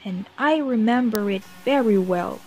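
A woman voicing a loving, reassuring mother bear in a bedtime storybook, tender and deliberate. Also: on the high side, mellow.

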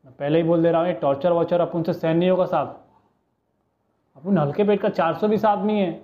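A man's voice: on the high side, steady tone, fairly quick, close to an everyday voice. A man acting a cheeky small-time crook with a Mumbai street accent, bargaining and pleading in a police interrogation monologue. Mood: pleading, wheedling. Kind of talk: audition monologue, film-style street-slang acting. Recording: muffled, narrow phone-like sound.

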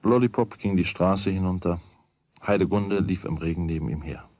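An actor narrating a children's radio play as a wry storyteller with a husky voice. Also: male voice, deep voice, moderate pace, a little mellow, no echo, clean studio sound. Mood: wry, matter-of-fact.

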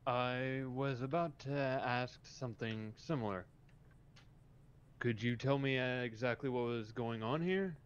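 Man playing a polite, clear-voiced police officer. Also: mid-range voice, dull audio, lightly acted. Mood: measured, questioning. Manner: hesitant, formal, measured. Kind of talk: improvised game roleplay.

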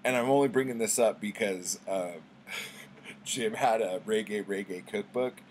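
A middle-aged man with a very low voice talks casually to the camera. He takes his time, rambling along in a laid-back, slangy way peppered with swearing. There is faint noise behind him in the home recording. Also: unhurried. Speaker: book vlogger. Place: small book-lined room at home.

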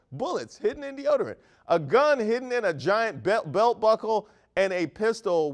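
Male host, thirties, studio news-comedy monologue, high lively voice, mock outrage. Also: clean tone. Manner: animated, mock-outraged, jokey asides. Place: studio set.

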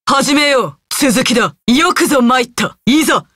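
A woman's voice: high-pitched, animated, moderate pace, a bit bright, breathy edge, dry recording, studio quality, heavily acted. A woman voicing a proud, stern, battle-hungry female ninja warrior in an anime game, with haughty, archaic, commanding speech. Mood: bold, challenging. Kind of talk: Japanese anime video game voice acting.

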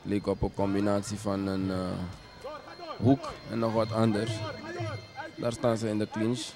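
Man, fight commentator, somewhat low pitch, little intonation, hesitant, measured, clear music in the background. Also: monotone. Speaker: kickboxing commentator.

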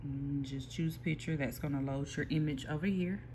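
Relaxed voice walking through software steps. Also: mid-range voice, moderate pace, slight background noise. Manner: relaxed, explains step by step with frequent "um" and restarts. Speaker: small print shop owner giving a software tutorial. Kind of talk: casual screen-recorded tutorial. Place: indoor workspace at a computer. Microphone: phone filming a computer screen.

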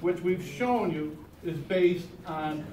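A man with an American accent and a high-pitched voice lays out his case insistently, checking in after each point. He is recorded from a distance in an echoey room with noticeable background noise. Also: slow pace, a little mellow. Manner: insistent, punctuates points with "okay?". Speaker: activist panelist. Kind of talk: explanatory public presentation. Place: crowded library meeting room. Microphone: camera in the audience, far off.

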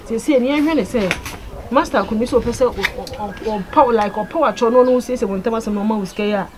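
Ghanaian woman acting, talks really fast, highish voice, insistent, lots of background noise. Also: close to an everyday voice. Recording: noisy ambient location sound.

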